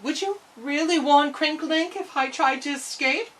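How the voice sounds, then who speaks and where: A woman's voice: high-pitched, unhurried, a bit bright, distant mic, voice pushed up. A woman playing an earnest, timid but indignant little girl in a storybook. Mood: anxious.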